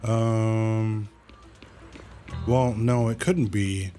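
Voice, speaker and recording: A man's voice: lowish voice, fairly even intonation, very slow, warm tone, faint music behind. A man livestreaming a video game with casual, slangy commentary. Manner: slangy, informal. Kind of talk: casual game stream commentary, reading dialogue aloud.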